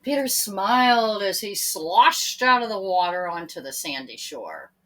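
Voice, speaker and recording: A woman's voice: medium pitch, sing-song, unhurried, fairly bright tone, rough recording. A woman reading a children's story aloud expressively, speaking to her young listeners in asides.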